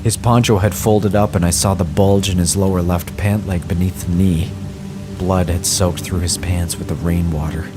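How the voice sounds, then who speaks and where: A man reading a scripted horror story in a measured, somber first-person way. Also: low-pitched, steady tone, music in the background. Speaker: story narrator.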